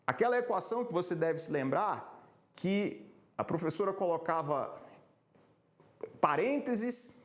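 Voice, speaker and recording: A man from Salvador, Brazil, gives a motivational talk on public speaking with a slightly high voice. He talks in a measured, emphatic way and slows down to stress his points. The room around him is noticeably echoey.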